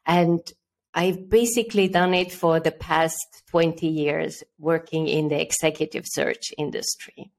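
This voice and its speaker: A middle-aged woman from Estonia with an Estonian accent, answering interview questions as a guest with careful, halting phrasing. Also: deep voice, steady tone, gravelly. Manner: careful, with repeated words and fillers. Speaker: guest, headhunter.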